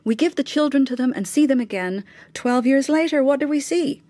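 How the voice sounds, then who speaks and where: A woman's voice: sits high, lively intonation, fairly quick, dark tone, no room sound. An actress playing a bitter, imperious, bigoted upper-class mother in a radio drama, clipped, cutting and sarcastic, with insistent questioning. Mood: insistent, agitated. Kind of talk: naturalistic radio drama acting.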